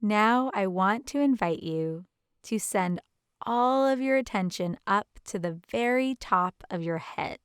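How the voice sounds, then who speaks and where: Smooth, dry-recorded woman guiding meditation. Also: mid-range voice, expressive, dry recording. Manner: gentle, soothing, unhurried guidance. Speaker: guided meditation instructor. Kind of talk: guided meditation narration.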